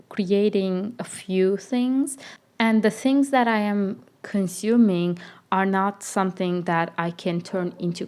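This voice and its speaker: A woman's voice: medium pitch, darkish tone. A young woman, not a native English speaker, chatting casually in a life-update vlog, with frequent fillers and self-corrections. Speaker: vlogger.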